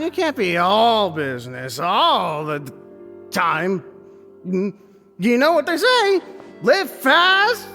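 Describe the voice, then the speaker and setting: Slow, drunken overfriendly pal. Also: male voice, very high-pitched, sharp tone, heavily acted. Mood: boisterous. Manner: slurring, loose, overfriendly. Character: drunk, chummy male business acquaintance. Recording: reverb with game music.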